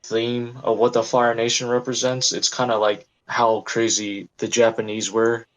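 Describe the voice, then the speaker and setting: A man from the United States chatting casually in a group discussion, quick and joking, laughing often. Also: medium pitch, level tone, a bit bright, rough recording. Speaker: panel guest.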